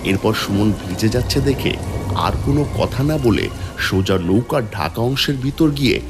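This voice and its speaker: A man's voice: medium pitch, lively intonation, moderate pace. A man narrating a scripted horror story in a dramatic storytelling style. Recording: music and rain behind the voice.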